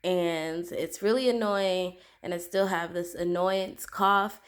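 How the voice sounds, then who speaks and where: A woman talks slowly and unhurriedly, her voice a little congested from a lingering head cold. Her throat is sore, so she clears it often as she chats loosely, with plenty of ums and likes. She is recorded at a home desk by a camera pointed down at the tabletop. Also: lowish voice, steady tone. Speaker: planner vlogger. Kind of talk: casual product comparison. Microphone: camera aimed down at the desk, speaker off frame.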